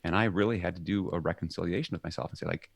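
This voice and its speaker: A middle-aged man with a very low voice gives an animated guest interview. He jumps into loud acted-out quotes, then sinks quiet and soft. The sound is dry and close. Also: racing, vocal fry, dry recording. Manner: animated, acts out quoted shouts. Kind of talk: conversational interview.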